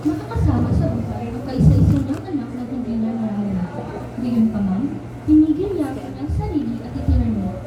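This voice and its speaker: Female radio drama narrator, high voice, scripted storytelling, far from mic with clear noise. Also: expressive, brisk. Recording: very echoey room, distant, clear noise.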